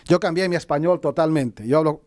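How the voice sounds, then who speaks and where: A man's voice: on the high side, a little fast, darkish tone, no echo. Earnest South American man, dry sound. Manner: earnest, repeats words. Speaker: panelist, pastor. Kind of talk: panel discussion.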